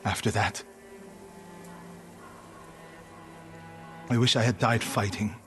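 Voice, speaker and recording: Man, acting, grieving warrior monk, solemn and earnest, feudal Japan game drama, soft music underneath. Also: fairly low pitch, fairly even intonation, a little fast, lightly acted. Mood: haunted, sorrowful. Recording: background music under the dialogue.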